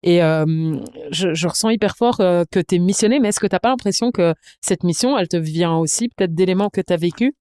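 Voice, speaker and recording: A woman's voice: on the low side, a little fast, hardly any pauses, warm tone, clean tone, dry recording, studio quality. A woman hosting a casual interview in an engaged, informal way. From Belgium. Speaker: podcast host, interviewer.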